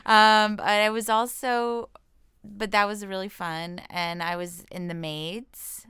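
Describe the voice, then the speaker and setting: A woman's voice: mid-range voice, level tone, slow pace, fairly bright tone, clear, resonant tone, dry recording, clean studio sound. An American woman chatting casually as an interview guest, informal and self-deprecating, thinking aloud as she goes. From Washington state, United States. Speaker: comedian and actress, interview guest. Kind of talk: casual chat.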